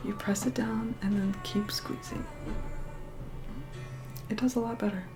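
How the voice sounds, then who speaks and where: A woman playing a reluctant, haughty vampire in a whispered ASMR roleplay, hushed, bossy and begrudging. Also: mid-range voice, fairly even intonation, measured pace, over music, natural voice. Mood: hushed, instructing.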